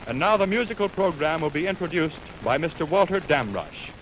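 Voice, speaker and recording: A man with an American accent announcing formally on 1920s radio, reading a script in a measured, declaiming way. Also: on the high side, expressive. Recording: noisy, hissy 1929 radio aircheck on film.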